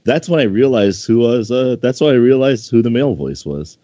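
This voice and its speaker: A man's voice: mid-range voice, expressive, brisk, warm tone. A man reading fan fiction aloud in an amateur, casual way, stumbling over the text and commenting on it. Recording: dull, roomy home microphone recording.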